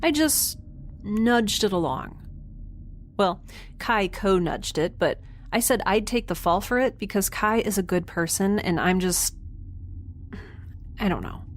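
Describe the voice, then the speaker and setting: A woman's voice: medium pitch, lively intonation, measured pace, long pauses, slight background noise, lightly acted. A woman playing an anxious, wry young space castaway recording an audio drama log, confiding and hesitant as she corrects herself. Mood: wry, flustered. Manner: hesitant, self-correcting, rambling, dryly wry. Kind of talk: audio drama monologue, confiding diary narration.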